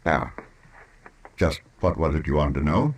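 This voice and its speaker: Frontier banker for radio western: deep, lively intonation, rough-edged, genteel, cautious and hedging. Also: male voice, full character voice. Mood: uneasy, reluctant. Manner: genteel, hedging, deliberate phrasing. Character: fussy, cautious frontier banker, reluctantly obliging. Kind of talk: old-time radio western acting.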